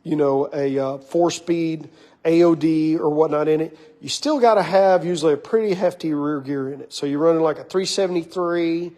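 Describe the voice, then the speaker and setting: A hoarse man running a car shop, talking casually and rambling with lots of fillers as he shows off parts of a car build in his workshop. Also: fairly high pitch, moderate pace, mellow. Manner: rambling, filler-heavy, enthusiastic about parts. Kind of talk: casual shop walkaround talk.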